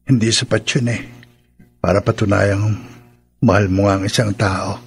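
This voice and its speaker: A man with a Filipino accent playing a possessive, insistent young boyfriend in a radio drama, intimate and husky. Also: lowish voice, steady tone, normal speed, faint music behind. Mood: coaxing, demanding.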